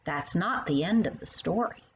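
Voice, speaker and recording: Lady doing a sweet older woman explaining stuff to a little kid, storybook style, lively, bit muffled. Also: lowish voice, normal speed, a little hiss or hum. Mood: patient storytelling. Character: kindly, playful older woman teaching a young child.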